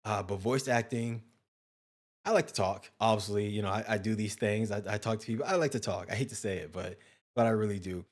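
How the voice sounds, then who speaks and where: Male host, lowish voice, casual and rambling, pokes fun at himself. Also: brisk. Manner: rambling, self-deprecating questions. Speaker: interview host. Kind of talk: casual interview chat.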